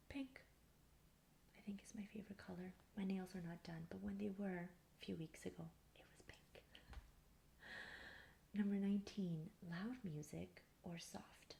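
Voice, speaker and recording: A woman in her mid thirties speaking in a soft, breathy near-whisper, calmly and without hurry, answering personal questions in an ASMR style. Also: lowish voice. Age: thirty-four. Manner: calm, unhurried. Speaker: ASMR video creator. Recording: faint background hiss.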